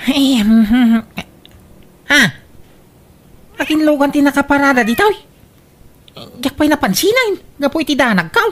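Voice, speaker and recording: A woman's voice: high-pitched, animated, normal speed, long pauses. A woman playing a bewildered, fretful rural householder in an Ilocano comedy radio drama, full of questions and exclamations, with an Ilocano accent. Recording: clearly noisy background.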